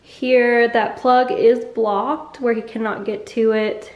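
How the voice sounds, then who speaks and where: A woman's voice: on the high side, flat delivery, slow, highs cut off. A young woman casually narrating a walkthrough of her home as she films it, talking in a chatty, offhand way. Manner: chatty, offhand, trails off mid-thought. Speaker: vlogger giving a home tour. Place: rooms of a mobile home. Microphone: handheld camera while walking.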